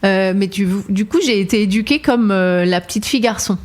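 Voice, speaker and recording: Woman, singer, from France, Lebanon and Ivory Coast, mid-range pitch, reflective, hesitant, laughs easily. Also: a little fast, darkish tone. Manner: reflective and searching, many hesitations, laughs often. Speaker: singer, guest. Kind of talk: casual conversational interview.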